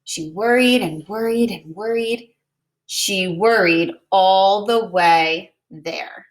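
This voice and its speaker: A woman reading a children's picture book aloud in an expressive, deliberate way, like a preschool teacher. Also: mid-range voice, low-quality recording. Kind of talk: children's storybook read-aloud.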